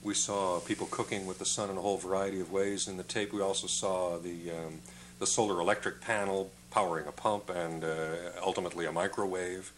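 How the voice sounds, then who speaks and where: An older man hosting a studio interview who speaks slowly and encouragingly and sums up his guests' points. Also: sits low, level tone, fairly bright tone, slight background noise. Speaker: TV interviewer, host. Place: TV studio set, indoors.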